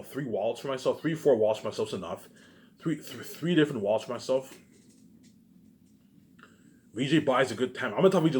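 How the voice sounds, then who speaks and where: A man talking in a casual, rambling monologue, circling back over the same phrases with repeated filler tags and emphatic bursts that trail off. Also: medium pitch. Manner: repetitive, restarts phrases, frequent filler catchphrases.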